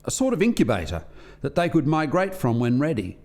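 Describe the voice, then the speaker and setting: A man's voice: a little high, big pitch swings, a little fast, a little mellow. A man playing an earnest alien scientist who explains experiments to colleagues in formal, articulate language in a radio play. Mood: earnest, storytelling.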